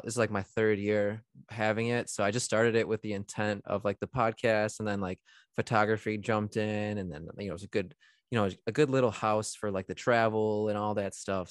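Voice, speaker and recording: A young man with a fairly low voice who hosts a podcast in a loose, slangy way, packing his talk with fillers. The recording is dry and close, with no room sound. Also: fairly even intonation, a little fast, no room sound. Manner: laid-back, slangy, lots of likes and you knows. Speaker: podcast host. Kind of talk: casual conversational interview.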